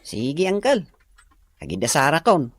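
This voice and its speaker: An actor playing a caring, dutiful young nephew in an Ilocano radio drama, coaxing and concerned, with an Ilocano accent. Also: male voice, on the high side, wildly swooping pitch, unhurried, no echo, heavily acted.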